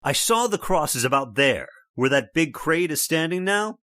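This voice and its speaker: A man's voice: on the high side, sing-song, moderate pace, no echo, studio quality. An actor playing a stubborn, scoffing middle-aged father with a brash manner, in old-time radio drama style. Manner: brash, scoffing, loud laughs.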